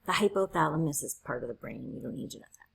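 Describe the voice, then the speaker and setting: A woman's voice: low voice, lively intonation, fast, a bit bright. A woman instructor giving a college lecture while losing her voice, explaining step by step and checking in with "right?". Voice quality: husky, gravelly. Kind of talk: classroom lecture.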